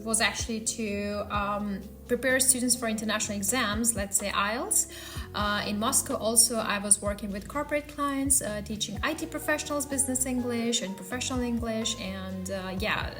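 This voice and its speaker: A woman's voice: medium pitch, a bit bright. A woman from Pakistan who teaches English, chatting casually and straight to the viewer in her vlog. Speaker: vlogger.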